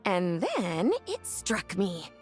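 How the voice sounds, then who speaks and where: A woman's voice: fairly low pitch, expressive, slow pace, husky, soft background music, exaggerated voice. A woman playing a spirited, self-assured young female film director in a video game, speaking with breezy theatrical confidence. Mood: amused. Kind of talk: animated game voice acting.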